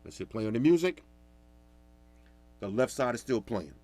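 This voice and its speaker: A man giving a casual, animated product review in a loud, talkative voice. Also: mid-range voice, moderate pace. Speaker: tech reviewer.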